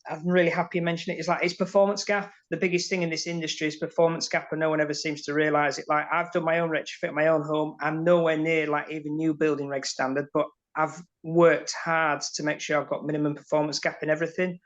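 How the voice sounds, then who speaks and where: Earnest builder with a flat, raised voice. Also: male voice, on the high side, fast talker, hardly any pauses. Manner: earnest, frustrated, rambling. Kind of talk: casual chat on a webinar call. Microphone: video call. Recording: muffled video call audio.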